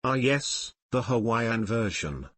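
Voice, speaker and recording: A man's voice: mid-range voice, animated, slow pace, fairly bright tone, no echo. A man reading online forum comments aloud in a plain, matter-of-fact way. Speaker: comment reader.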